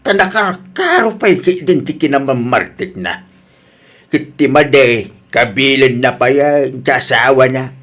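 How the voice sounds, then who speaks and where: A man's voice: mid-range voice, lively intonation, measured pace, fairly bright tone. A man with an Ilocano Filipino accent playing a worried, exasperated older father in an audio drama. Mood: troubled.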